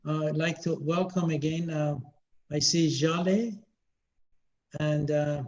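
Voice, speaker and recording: Guy hosting a zoom meeting, polite, welcoming, bit high voice, muffled sound. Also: fairly even intonation, takes it slowly, a little mellow. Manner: welcoming, courteous. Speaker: meeting host. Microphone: video call. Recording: dull video call audio.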